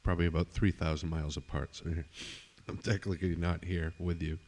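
Middle-aged male podcast host, deep voice, easygoing and rambling. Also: a little fast. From eastern United States. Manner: relaxed, filler-heavy, trails off quietly. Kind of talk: casual interview chat.

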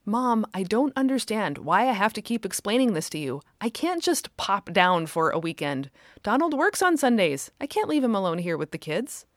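A woman voicing a patient, firm mother who speaks in a calm, measured way. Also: medium pitch, no room sound, clean studio sound, natural voice.

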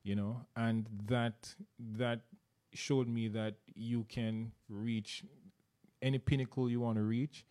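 A man's voice: mid-range voice, level tone, takes it slowly, dark tone. A middle-aged man from Jamaica with a Jamaican accent, talking earnestly as an interview guest and often repeating words as he searches for them. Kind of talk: casual interview.

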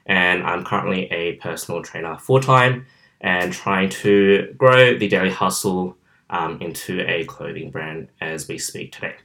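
A young Australian man hosts his own podcast from his bedroom. His voice sits very low but comes across bright and forceful. He talks casually and sociably, with plenty of ums along the way. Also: measured pace. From southwestern Sydney, Australia. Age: about 21. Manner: chatty and outgoing, many fillers. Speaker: podcast host. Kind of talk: casual solo podcast monologue. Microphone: camera, filmed.

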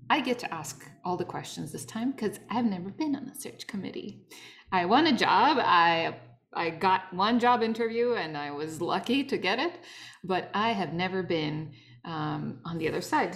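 A woman's voice: fairly low pitch, a bit bright. Chatty woman hosting a podcast. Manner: laughs often, friendly and chatty. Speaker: podcast host. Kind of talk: casual chat.

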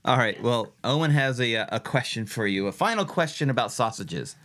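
A man's voice: medium pitch, expressive, a little fast, fairly bright tone, no echo, studio quality. A man co-hosting a family podcast in a friendly, chatty way, laughing often. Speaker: podcast co-host. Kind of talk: casual family podcast chat.

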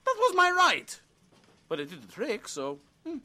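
A man's voice: very high voice, wildly swooping pitch, moderate pace, pushed and bright, a little hiss or hum, heavily acted. A man playing a jumpy but brave, wisecracking young survivor in a zombie audio drama. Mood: frantic, wry.

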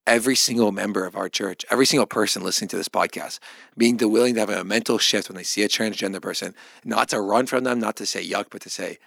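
A man with a lowish voice and plenty of vocal fry co-hosts a podcast in a dry recording. He talks casually and earnestly, working through his thoughts in halting, rambling phrases. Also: fairly bright tone, no room sound. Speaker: podcast co-host. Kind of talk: casual religious discussion.

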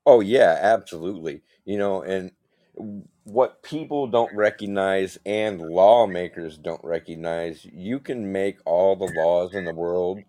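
Low-voiced man chiming in. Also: measured pace, warm tone, dry recording. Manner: short agreeing interjections. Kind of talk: casual podcast chat.

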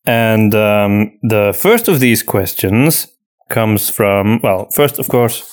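A man hosting a podcast in casual conversation, explaining things in a measured, reflective way with hesitant pauses. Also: fairly low pitch, expressive. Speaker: podcast host.

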